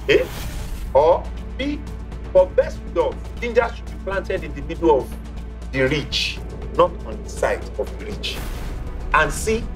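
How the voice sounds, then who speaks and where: A middle-aged man hosting a television quiz show in a studio, theatrical, drawing out key words, on a lapel mic. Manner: theatrical, swings pitch and drags out key words. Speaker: game show host. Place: indoor TV studio set. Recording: faint music behind.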